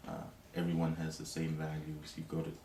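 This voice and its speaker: A man from Tonga speaks in a low, deep voice. He talks in a casual, reflective way and often searches for words with "you know". He is recorded from a distance in an echoey room, with faint noise behind him. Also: completely flat delivery, brisk, creaky, mellow. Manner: thoughtful, many "you know" fillers. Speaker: interviewee.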